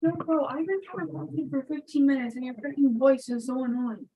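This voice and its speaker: A woman's voice: sits high, fairly even intonation, fairly quick, muffled recording. A woman in casual conversation, blunt and irritated, stumbling over her words. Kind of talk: casual chat.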